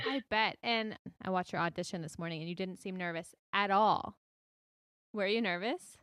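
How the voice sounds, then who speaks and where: A woman's voice: mid-range voice, moderate pace, a bit bright, clear, resonant tone, no echo, clean studio sound. A woman hosting a podcast, asking friendly, encouraging questions in a casual interview. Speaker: podcast host.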